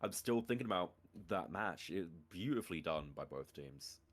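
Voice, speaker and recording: A man hosting an esports tournament chats with a player after the match, joking at his own expense and keeping things light. His voice sits a little low and easygoing. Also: animated, normal speed. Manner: chatty, self-deprecating and joking. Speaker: esports caster and interviewer. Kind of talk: casual esports interview.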